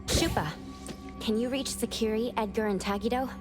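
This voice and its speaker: A woman's voice: fairly high pitch, moderate pace, lightly acted. A woman playing a level-headed, cautious young companion in an anime-style video game, speaking in a composed, polite, practical way. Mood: focused. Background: game music.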